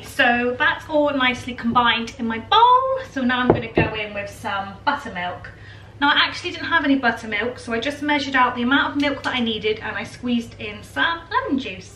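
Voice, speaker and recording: A British woman in her thirties giving a casual, chatty cooking demonstration, informally thinking aloud as she works. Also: on the high side. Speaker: home baking vlogger. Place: home kitchen, indoors. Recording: household kitchen noise, dish clatter.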